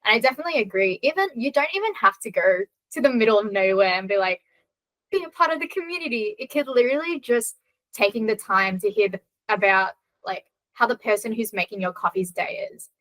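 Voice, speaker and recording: A woman's voice: fairly high pitch, animated, a little fast, fairly bright tone, no room sound, low-quality recording. A woman from Australia, a podcast guest talking in an earnest, reflective way with many fillers. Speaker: podcast guest, content creator. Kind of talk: casual podcast chat.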